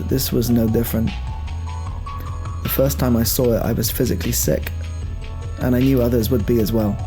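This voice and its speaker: A man narrating a mock-serious ASMR documentary in a solemn, dramatic, deliberate manner. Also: mid-range voice, mellow. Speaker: narrator, ASMR video creator. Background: music.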